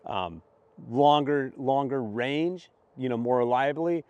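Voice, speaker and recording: A man's voice: mid-range voice, takes it slowly, a little mellow, clean tone, no room sound, lo-fi sound. A man answering questions as a product expert, conversational and matter-of-fact, thinking aloud as he explains. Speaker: radio shop expert answering viewer questions. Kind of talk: informal Q&A explainer.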